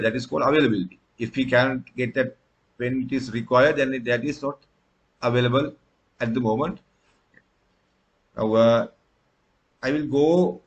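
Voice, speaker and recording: A man's voice: mid-range voice, poor audio. A man from Sri Lanka, a native Sinhala speaker, giving a recorded tutorial lecture with frequent fillers and restarts. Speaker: instructor.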